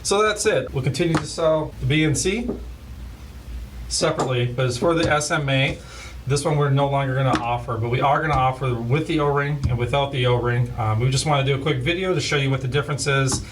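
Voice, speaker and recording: A man's voice: mid-range voice, a little fast. Guy casually showing off gear, lots of uhs, sounds a bit off-mic. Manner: relaxed, filler-heavy, plain explaining. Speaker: host of a scanner shop's product videos. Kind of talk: casual product demo. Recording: voice a bit far from the mic, faint hiss.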